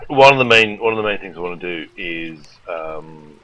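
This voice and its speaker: A man's voice: low voice, lively intonation, normal speed, lo-fi sound. A man with a sore throat from a cold, casually commenting on his gameplay as a let's play host and thinking aloud with lots of ums.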